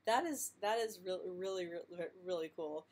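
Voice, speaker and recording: A young woman who stutters, answering viewer questions in a casual live stream, speaking softly with frequent repeated sounds and light laughs. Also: on the low side, moderate pace. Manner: soft-spoken, laughs lightly between answers.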